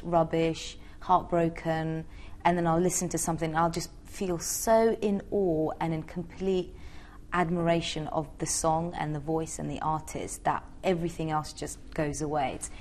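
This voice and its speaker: A woman's voice: on the low side, darkish tone, a little hiss or hum. A young woman from Georgia answering interview questions in a chatty, hesitant way with many fillers. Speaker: interviewee, singer.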